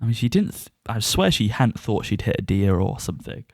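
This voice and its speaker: A man's voice: on the low side, big pitch swings, a little fast, darkish tone, no room sound, studio quality. A man co-hosting a podcast, giving a casual review and often chuckling as he talks. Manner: chuckles often while talking.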